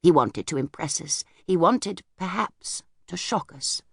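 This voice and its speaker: A woman voicing a reserved, wistful older lady in an audiobook, speaking gently and hesitantly as she looks back. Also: on the low side, lively intonation, measured pace, gravelly, slightly rough, no room sound, clean studio sound, full character voice. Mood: reminiscing. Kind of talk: audiobook character voice.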